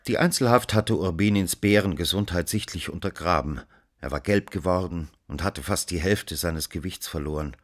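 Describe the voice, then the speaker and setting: A man's voice: sits low, normal speed. An actor playing an investigating magistrate who questions and narrates in a radio play, pressing, condescending and literary in his wording. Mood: sober narration.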